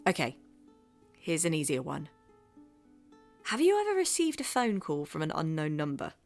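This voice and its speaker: English woman host, low voice, hushed storytelling, faint music behind. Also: wildly swooping pitch, moderate pace, creaky. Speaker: podcast host and narrator. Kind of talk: scripted narration.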